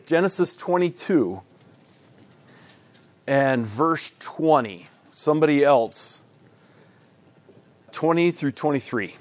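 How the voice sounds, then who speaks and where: A man's voice: a little high, animated, slow, pauses often, no echo. A man leading a Bible study in a casual, folksy, conversational way, often restarting his sentences.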